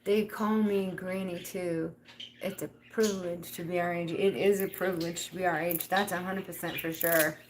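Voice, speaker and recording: A woman's voice: fairly low pitch, fairly even intonation, moderate pace, background noise. A woman in her fifties chatting casually on a livestream, rambling and laughing often. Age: 50s. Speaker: livestream host.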